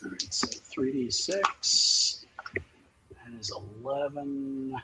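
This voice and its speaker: A man narrating his character's moves in a tabletop roleplaying game, casually and with hesitant pauses. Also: medium pitch, heavy background noise.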